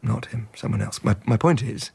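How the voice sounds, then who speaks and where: Husky, halting, troubled husband. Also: male voice, lowish voice, dark tone, full character voice. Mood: awkward, strained. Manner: halting, stammering, repressed. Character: troubled, stiff English husband, halting and bitter after a catastrophe. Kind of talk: naturalistic period radio drama acting.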